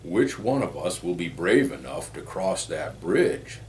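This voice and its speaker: A man's voice: low-pitched, very animated, a little slow, slight background noise. A man voicing a fearless great big billy goat, the confident eldest brother in a storybook. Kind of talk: storybook character voice.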